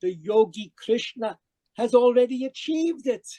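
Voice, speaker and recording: A man's voice: extremely high pitch, expressive, measured pace, mellow, dull audio. A man playing an obsessed, desperate zealot in an old-time radio mystery, fervent, pleading and intense. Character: obsessed, desperate zealot younger brother turned killer. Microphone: home microphone on a video call.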